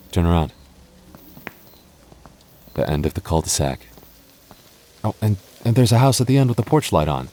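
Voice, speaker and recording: A young goth boyfriend, played by a man, speaks in an extremely deep voice. He is protective and tender, with a halting, playful way of talking straight to his partner, as in a boyfriend roleplay audio. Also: warm tone. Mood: relieved, affectionate. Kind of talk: boyfriend ASMR roleplay, acted conversationally to the listener.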